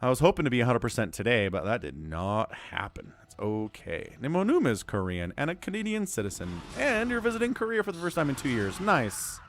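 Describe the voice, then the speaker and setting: A man calling a live strategy game match solo, his voice a little hoarse and stuffy from a cold, swinging from calm analysis to loud exclamations. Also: mid-range voice, fairly quick, few pauses. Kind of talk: live esports play-by-play commentary.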